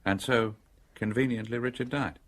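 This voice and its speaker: A man's voice: medium pitch, fairly even intonation, moderate pace, lightly acted. A British actor playing a shrewd, sardonic man probing a murder in a radio drama, with a dry, ironic manner.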